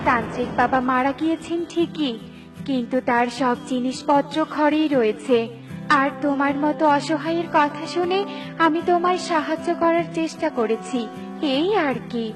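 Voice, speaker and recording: A woman's voice: high voice, moderate pace, music in the background, lightly acted. A woman voicing a kindly magic talking plate in a children's cartoon, reassuring and explanatory.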